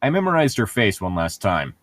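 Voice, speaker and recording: Sardonic, animated man, dry close sound. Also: on the low side, fairly quick, no room sound. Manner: sardonic, blunt, profane. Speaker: co-host of a group read-along show. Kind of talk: casual chat mixed with reading a novel aloud.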